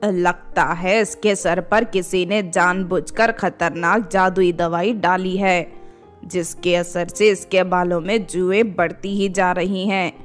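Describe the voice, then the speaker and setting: A woman voicing a calm, assured older sorceress mother in an animated fairy tale, with a measured manner. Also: lowish voice, faint music behind, pitched below the performer's own voice. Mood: reassuring.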